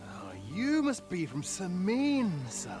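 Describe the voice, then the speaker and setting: Slow, taunting bandit chief. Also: male voice, high voice, wildly swooping pitch, over music, exaggerated voice. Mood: mocking. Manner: taunting, drawling threats. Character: menacing, mocking medieval bandit chief. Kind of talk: dramatic video game acting.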